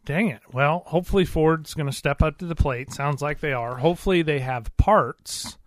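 A man's voice: on the high side, moderate pace, dry recording. A man co-hosting a radio talk show, chatting casually with folksy, colloquial turns of phrase.

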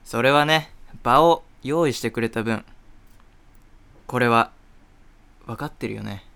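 A man's voice: mid-range voice, unhurried, vocal fry, sharp tone, smooth. A man playing an arrogant, flashy rich playboy in a comedy radio drama, with a pompous, showy drawl. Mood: flippant.

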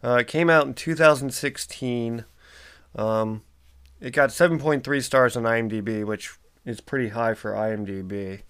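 A man's voice: mid-range voice, moderate pace, fairly bright tone, clear, resonant tone. A man in his forties hosting a solo podcast, sounding congested and stuffy, rambling casually with lots of ums and uhs. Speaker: podcast host.

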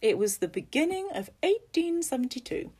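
A woman's voice: sits high, sing-song, normal speed, a little mellow, breathy edge, voice pushed up, heavily acted. The raised, emphatic voice of a lady guide leading a ghost tour through an old hall, played by a woman. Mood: dramatic. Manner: theatrical, emphatic. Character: female ghost-tour guide, theatrical teller of hauntings.

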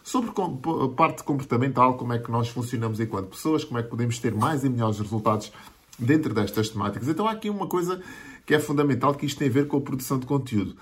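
A man's voice: mid-range voice, animated, fast talker. A man in his forties with a European Portuguese accent, a marketing mentor giving an earnest motivational talk to camera and building his points through repeated lists. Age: 40s.

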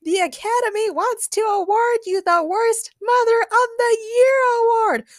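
A woman voicing a gleeful robot that jeers insults in a drawn-out singsong for a fan story. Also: extremely high pitch, dry recording, clean studio sound, pitched above the performer's own voice. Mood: mocking. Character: gleeful taunting robot who delivers insulting zings.

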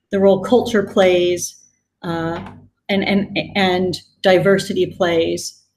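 A woman's voice: on the low side, darkish tone, slight background noise, poor audio. A woman from West Coast Canada whose accent is mixed with British after years in the UK. She gives thoughtful, conversational answers as an interview guest. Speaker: guest, design executive.

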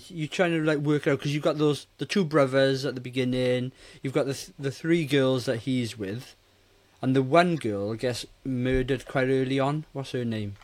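A man in his forties with an average-pitched voice talks casually as a podcast co-host. He rambles and hesitates as he works through his thoughts on a film. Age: 40s.

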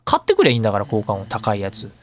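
Very animated, fast-talking man hosting radio. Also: on the low side, noisy background. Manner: chatty, opinionated, colloquial explaining. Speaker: radio show host and audio engineer. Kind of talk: casual talk radio monologue explaining sound editing.

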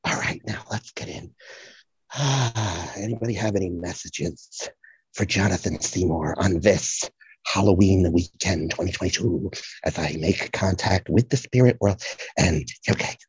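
A performer playing a flamboyant, gushing, scatterbrained celebrity psychic in a comic audio play, rambling and repeating phrases with theatrical drawn-out words. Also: deep voice, slightly rough, dry recording, heavily acted, poor audio. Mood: flustered, rambling. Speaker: podcast guest playing a psychic. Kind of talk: improvised comic audio play, podcast spoof.

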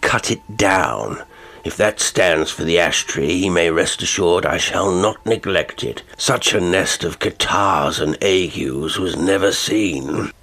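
A man reading the part of an impatient country squire who gives brisk, curt orders. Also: deep voice, fairly bright tone, exaggerated voice. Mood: amused.

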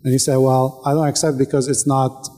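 A man whose native tongue is Arabic, debating live and pushing back firmly with short, pointed questions. His voice is mellow and dark. The sound rings with the long reverb of a large hall. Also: medium pitch, level tone, a little fast.

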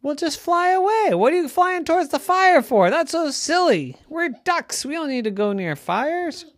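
A woman's voice: mid-range voice, sing-song, moderate pace, creaky, dry recording, studio quality, voice pushed up. A woman voicing practical, loving duck parents in a children's story, exclaiming with incredulity. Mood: incredulous.

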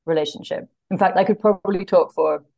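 A woman's voice: fairly low pitch, fairly quick, gravelly, warm tone. A woman speaking conversationally as an interview guest, earnest and thoughtful, often searching for the right words. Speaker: podcast guest, literary translator.

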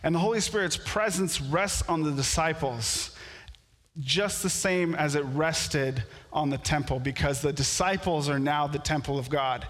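A man's voice: fairly high pitch, a little slow. Man preaching in a reverberant church. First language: French. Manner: storytelling, builds to animated outbursts. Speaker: pastor. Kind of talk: sermon. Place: church sanctuary.

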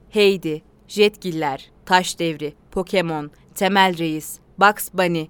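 A woman's voice: a little high, no room sound. A woman narrating a scripted documentary-style explainer in an articulated, presentational way.

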